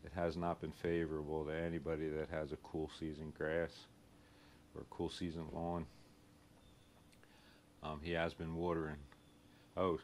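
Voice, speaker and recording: A man with a thick Philadelphia accent and a very deep, low-pitched voice talks through a lawn job in an easygoing, plain-spoken way. He is recorded outdoors near his truck, with a faint hiss behind him. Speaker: home and lawn care show host. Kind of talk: casual chat to camera.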